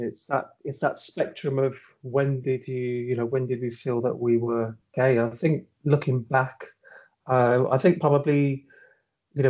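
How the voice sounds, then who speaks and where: A man's voice: mid-range voice, darkish tone, dull audio. A middle-aged man from Birmingham with a Birmingham accent, a podcast guest who talks hesitantly with many fillers and restarts and laughs at himself. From Birmingham, England. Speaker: interview guest. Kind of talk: podcast interview.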